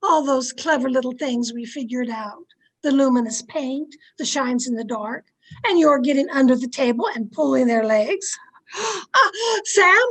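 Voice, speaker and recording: A woman's voice: high-pitched, normal speed, a bit bright, poor audio. A woman playing a domineering, haughty, easily outraged society wife in an old-time radio drama, scolding and imperious. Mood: startled. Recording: amateur video-call recording.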